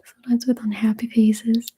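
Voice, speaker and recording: A young woman with a Russian accent, whispering softly and hesitantly as she answers viewer questions. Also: on the high side, monotone, normal speed, breathy edge, low-quality recording. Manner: soft unvoiced whispering, hesitant with fillers. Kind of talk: whispered ASMR Q&A.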